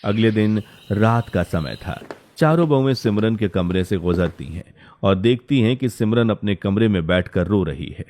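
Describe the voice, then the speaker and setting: A man's voice: fairly low pitch, animated, moderate pace, a little mellow. A man narrating a scripted story as its storyteller.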